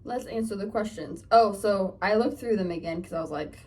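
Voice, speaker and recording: A woman's voice: medium pitch, a little fast, dark tone. A young woman from Northern California with a Californian accent, chatting casually in a get-ready-with-me vlog. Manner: rambling, chatty, lots of fillers. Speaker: lifestyle vlogger. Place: home, getting ready. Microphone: phone camera. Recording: voice a bit off-mic, from a distance.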